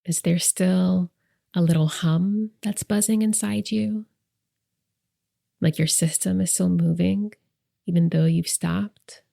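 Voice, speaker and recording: A woman leading a guided meditation as host, speaking softly and gently with deliberate pauses, her breathy voice trailing into whispers. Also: lowish voice, steady tone. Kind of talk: soft, soothing guided meditation narration.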